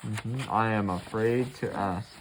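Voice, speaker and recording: A man teaching English through an expressive read-aloud, breaking sentences into short phrases for a young learner to repeat. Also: on the low side, takes it slowly, a little hiss or hum, rough recording. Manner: animated, expressive storybook reading.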